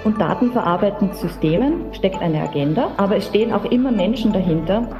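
A woman's voice: medium pitch, expressive, quick pace. A woman making a scripted statement in a reverberant space, with music behind her.

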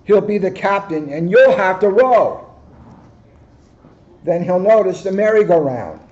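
A man in his sixties, a police officer, reading a picture book aloud with joking asides, in a high-pitched voice. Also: big pitch swings, measured pace, a little mellow. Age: 60s. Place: office, indoors. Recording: dull, lo-fi camera microphone.

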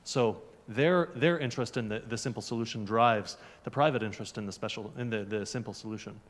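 A man in his thirties asks a question from the audience of a large lecture hall into a handheld microphone, speaking in a measured way with frequent fillers. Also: fairly low pitch. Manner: measured, many fillers and restarts. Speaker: audience member asking a question. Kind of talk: conference Q&A question. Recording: echoey hall.

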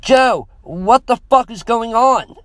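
A man's voice: very high-pitched, animated, slow pace, clear, resonant tone, dry recording, voice pushed up, exaggerated voice. A man voicing an alarmed young father in a story, urgent, emotional and emphatic. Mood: demanding.

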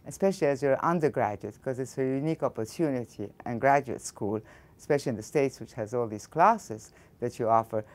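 A woman's voice: extremely low pitch, a little mellow. An older woman from Egypt with an Egyptian accent, answering interview questions as a physics professor in a thoughtful way, often restarting her sentences. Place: studio interview against a backdrop.

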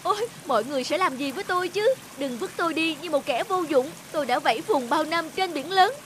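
A woman's voice: high-pitched, animated, fast, a bit bright, a little hiss or hum. A woman voicing a proud, self-pitying broken ship mast in a cartoon, lamenting dramatically. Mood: pleading, proud. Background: background music.

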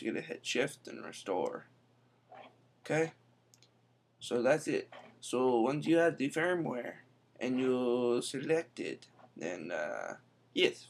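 Chatty young man on a webcam. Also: medium pitch. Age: young adult. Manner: chatty, quick asides, says "I'm telling you". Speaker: tech vlogger. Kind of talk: casual screen-recorded tutorial. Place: indoor room.

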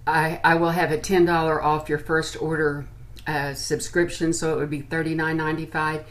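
A woman's voice: low voice, flat delivery, moderate pace. An American woman in her sixties chatting informally to camera as she reviews products. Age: 60s. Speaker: beauty and lifestyle vlogger. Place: small furnished room at home, indoors.

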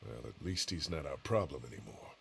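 An actor playing a calm, conscientious survivor in a zombie video game, speaking in a measured, earnest way. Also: male voice, a bass voice, gravelly, a little hiss or hum, heavily acted. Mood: firm.

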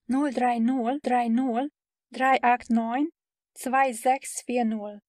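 A woman speaking slowly and clearly as a caller in a scripted learner dialogue. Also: fairly high pitch, steady tone, darkish tone, no echo, clean studio sound. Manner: slow, clear articulation.

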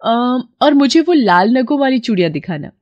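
A woman voicing chatty village women eagerly picking bangles in an animated story. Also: fairly high pitch, normal speed, no room sound, highs cut off, pitched above the performer's own voice, close to an everyday voice.

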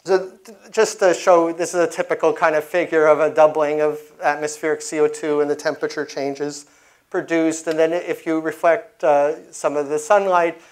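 A man's voice: on the high side, level tone. A middle-aged man giving an informal science lecture with slides, often restarting his sentences as he explains. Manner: halting, frequently restarting sentences. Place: indoor lecture room, at a podium.

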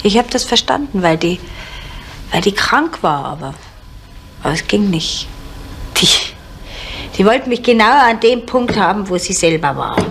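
An actress playing a bitter, desperate middle-aged woman in a crime radio drama, halting and repeating words, sometimes dropping into whispers. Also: medium pitch, big pitch swings, creaky. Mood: bitter confessing. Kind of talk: naturalistic German radio crime drama acting. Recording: noisy 1970s radio play recording.

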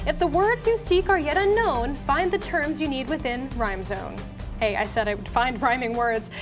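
A woman's voice: sits high, a bit bright. A woman in her thirties with an American accent, casually presenting and reading aloud in an upbeat, playful manner as a show co-host. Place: TV studio at a desk.

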